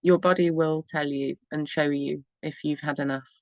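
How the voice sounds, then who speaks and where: A woman talks in a low, encouraging voice as a radio host, rambling along and often laughing. She is recorded dry and close to the mic. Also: fairly even intonation, moderate pace, a little mellow, no room sound, lo-fi sound. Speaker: radio show host and EFT therapist. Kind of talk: casual spoken advice on a talk radio show.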